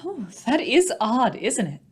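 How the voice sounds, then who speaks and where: Radio mystery wife, female actor: worried, evasive, fairly high voice with lively intonation. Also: unhurried, breathy edge, heavily acted. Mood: puzzled, indignant. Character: worried, evasive young wife pleading with her husband. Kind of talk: old-time radio mystery acting.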